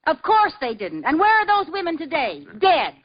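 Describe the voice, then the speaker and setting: A teasing witty young wife. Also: female voice, extremely high pitch, sing-song. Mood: coaxing. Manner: teasing, bubbly, quick comic timing. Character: witty playful young housewife. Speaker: wife in a radio sitcom. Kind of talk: 1940s radio comedy acting. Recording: dull hissy old radio broadcast.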